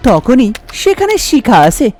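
A woman's voice: high voice, big pitch swings, unhurried, darkish tone, soft background music. A woman narrating a scripted cartoon story.

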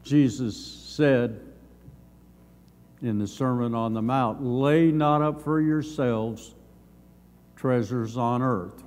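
Older man, Bible lesson from notes, slow measured pace, halting phrases, medium pitch, long hall reverb. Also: a little mellow. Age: older, around 70s. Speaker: Sunday school teacher. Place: church sanctuary, at a lectern. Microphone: gooseneck lectern microphone.

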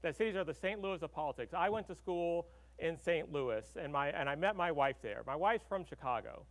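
A man's voice: on the high side, lively intonation, fairly quick. A middle-aged man from Arkansas giving a conversational conference keynote, full of fillers and "right?" tags, speaking at a podium in a large hall.

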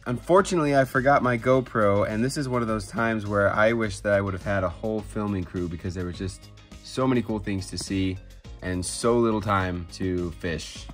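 Low-voiced american guy out ice fishing, chatty and relaxed, phone cam, faint music behind. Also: normal speed. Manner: easygoing, drops to a hushed murmur while landing a fish. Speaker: fishing vlogger. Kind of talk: casual outdoor vlog narration. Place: outdoors on a frozen lake and in a car. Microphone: handheld phone camera.

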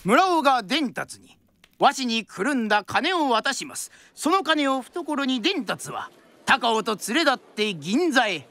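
A woman's voice: high voice, big pitch swings, measured pace, a bit bright, exaggerated voice. A woman playing a storyteller narrating a period radio drama in traditional Japanese style. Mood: storytelling.

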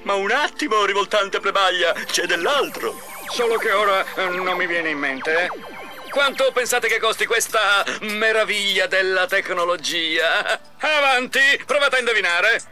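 Smug cartoon mad scientist, high and swooping, pitching grandly like a showman. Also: animated, moderate pace, over music. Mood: scornful, smug. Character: smug villainous mad-scientist salesman, theatrical and scornful. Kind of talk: parody infomercial pitch in game cartoon.

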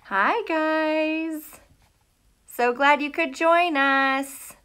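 An American woman in middle age talks casually to children as their teacher on a live stream. Her voice is on the high side and bright, and she takes her time. She is animated and chatty, putting questions to her young viewers. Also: very slow. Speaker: elementary school teacher hosting a live read-aloud. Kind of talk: casual livestream chat with children. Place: small room at home, indoors. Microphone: phone or tablet at arm's length.

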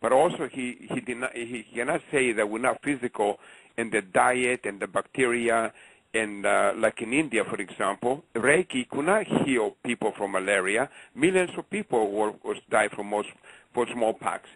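A man with a thick Greek accent, calling in over the phone and speaking with fervent, emphatic conviction. His voice is a bit husky and sounds close and dry, with hardly any room around it. He circles back and repeats his points for emphasis. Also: mid-range voice, normal speed, a bit bright, no echo. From Greece. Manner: passionate, emphatic, repeats himself. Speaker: caller. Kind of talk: call-in radio talk. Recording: phone line on radio show.

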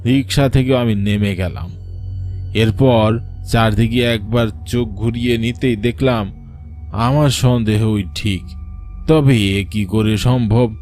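A man's voice: medium pitch, unhurried, a little mellow, soft background music, lightly acted. A man playing a weary, frightened village rickshaw puller, telling a cartoon ghost story in an anxious, suspenseful, wondering way. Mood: bewildered fear. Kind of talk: dramatic first-person horror story narration for a cartoon.

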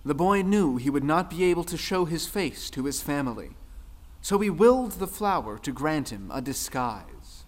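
A man narrating a scripted folktale in a solemn, measured, dramatic way. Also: fairly high pitch, animated. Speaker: storyteller. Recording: music under the voice. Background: music.

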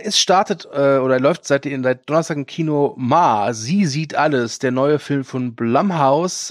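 A man's voice: a little high, no room sound, studio quality. A man co-hosting a casual film news podcast, talking with frequent hesitations and filler sounds. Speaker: podcast co-host.

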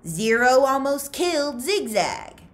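A woman's voice: high-pitched, sing-song, slow pace, pitched above the performer's own voice, full character voice. A woman voicing a stern, commanding female camp warden in a storybook, firm and condescending.

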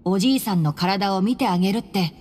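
A woman voicing a calm, clever young male scholar in an anime game, composed and articulate as he explains things. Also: mid-range voice, brisk, in a large hall, close to an everyday voice. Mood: thoughtful, assured.

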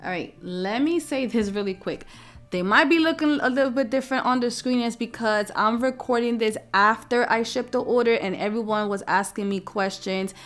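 A woman hosting a craft software tutorial, explaining each step in an informal, casual way. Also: medium pitch.

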